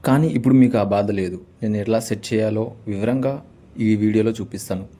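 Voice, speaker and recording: A man's voice: fairly low pitch, quick pace, mellow. A young man giving a casual step-by-step gaming tutorial as host.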